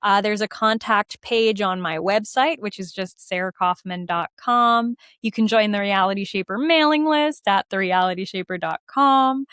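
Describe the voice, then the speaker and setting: Chatty woman with a clear, dry sound. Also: medium pitch, a bit bright, no room sound. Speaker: podcast guest, musical theater writer and performer. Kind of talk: casual interview chat.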